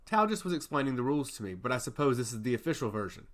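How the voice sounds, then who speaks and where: A man as an earnest, animated fantasy servant hero. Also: medium pitch, rapid-fire, natural voice. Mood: wry, curious. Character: dutiful, self-assured male mortal turned divine servant. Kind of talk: fantasy audiobook character acting.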